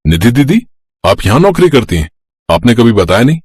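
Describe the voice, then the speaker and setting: A man's voice: medium pitch, very animated, fairly quick, a little mellow, husky, no room sound. A man voicing an earnest, emphatic adult brother in a moral-story cartoon. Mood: surprised.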